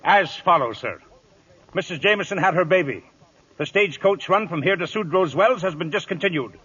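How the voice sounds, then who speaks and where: A man's voice: high-pitched, animated, normal speed, sharp tone, breathy edge, full character voice. A man acting a cheeky, sentimental and loyal cavalry sergeant in an old-time radio drama, respectful but teasing. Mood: chipper. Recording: dull vintage radio broadcast.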